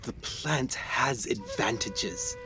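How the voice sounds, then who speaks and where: A man acting as a haunted explorer doctor in a radio play, speaking intensely and theatrically with suspenseful pauses. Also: mid-range voice, whispered, close to an everyday voice. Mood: haunted, grave. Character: haunted 1920s male explorer doctor recounting jungle horrors.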